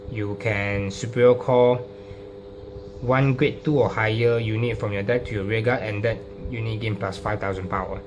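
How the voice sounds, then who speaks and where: Halting man with noisy background hum. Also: lowish voice. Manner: halting, hesitant delivery with repeated phrases. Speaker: trading card deck profile presenter. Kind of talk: informal card-by-card explanation. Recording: clearly noisy recording with hum.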